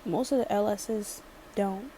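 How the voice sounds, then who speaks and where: A woman's voice: medium pitch, unhurried, dark tone, slight background noise. A chatty young woman from Maryland with a light Baltimore accent, rambling sassily through a casual storytime. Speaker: vlogger.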